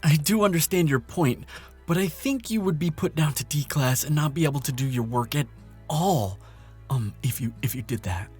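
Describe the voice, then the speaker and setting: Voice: medium pitch, animated, normal speed, darkish tone, husky, soft background music. A performer playing a nervous, kind lab assistant in an audio roleplay drama, hesitant and stammering. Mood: cautious.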